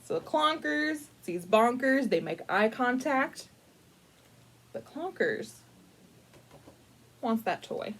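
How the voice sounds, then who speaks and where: A woman hosting a casual storytime, chatty and informal, with playful, dramatic emphasis and drawn-out words as she tells a picture-book story. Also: fairly high pitch, lively intonation, fairly bright tone, slight background noise. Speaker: librarian storytime host.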